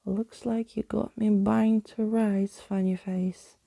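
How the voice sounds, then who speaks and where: A woman's voice: mid-range voice, flat delivery, slow, warm tone. Woman reading as a feisty, whispering young storybook girl. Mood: defiant. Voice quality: breathy whisper. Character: plucky, defiant young girl adventurer in a storybook.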